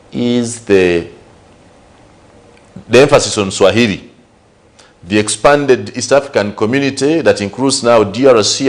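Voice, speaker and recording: A man from Uganda with a Ugandan English accent, giving conversational commentary on current affairs and asking rhetorical questions. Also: deep voice. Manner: conversational, repeats and lists points, rhetorical questions. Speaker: news show host.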